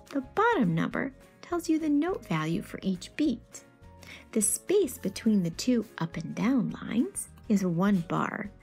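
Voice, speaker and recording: A woman's voice: medium pitch, creaky, darkish tone, slightly rough. A woman reading a picture book aloud, expressively explaining details as she goes. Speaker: story reader.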